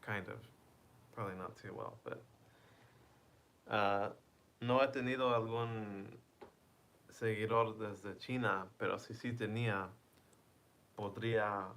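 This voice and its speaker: Man, slow pace, lowish voice, casual stream chat, bilingual. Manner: reads chat aloud, self-translates between English and Spanish; laughs softly between lines. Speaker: livestream host.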